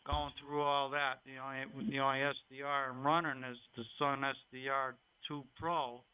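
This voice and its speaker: Bright-voiced man over a humming, noisy radio. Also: mid-range voice, level tone, normal speed. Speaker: amateur radio operator. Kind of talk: casual on-air ham radio chat. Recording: noisy radio transmission with hum.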